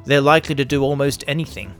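A man's voice: mid-range voice, lively intonation, fast talker, fairly bright tone. A man reading a scripted first-person pulp science fiction story as narrator. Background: faint music.

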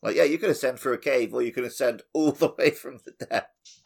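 A man's voice: medium pitch, a little fast, vocal fry, a little mellow. A man co-hosting a podcast, talking casually about a video game. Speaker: podcast co-host.

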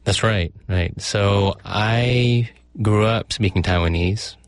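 Deep-voiced man, thoughtful physician guest. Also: slow, no echo, studio quality. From United States. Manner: thoughtful, explanatory, with fillers and self-corrections. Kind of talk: conversational interview.

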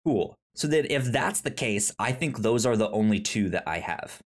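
Stammering podcast host, lively swooping pitch. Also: normal speed. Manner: stammering, repeats syllables and words. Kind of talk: casual film review chat.

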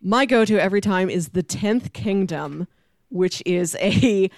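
A woman's voice: mid-range voice, lively intonation, measured pace, darkish tone, no echo. Chatty woman podcast host, dry close sound. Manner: chatty, enthusiastic storyteller. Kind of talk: casual pop culture discussion.

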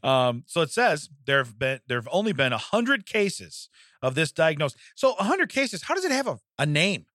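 Animated man in his forties, dry close sound. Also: on the high side, moderate pace, no echo. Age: 40s. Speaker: comedy podcast co-host. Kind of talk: casual comedic chat, reading out a news story.

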